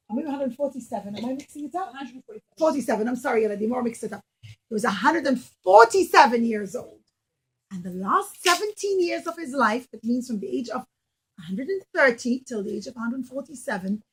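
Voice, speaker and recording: A woman's voice: high-pitched, husky, poor audio. A woman preschool teacher telling a story to young children in simple, emphatic phrases, often putting questions to them. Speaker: preschool teacher giving a puppet show.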